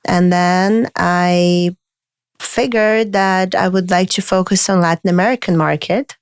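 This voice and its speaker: A woman in her thirties from Moldova, a lawyer telling her story as a podcast guest in a measured, explanatory way with frequent restarts. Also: fairly low pitch, steady tone, clear, resonant tone, no room sound, clean studio sound. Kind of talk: podcast interview.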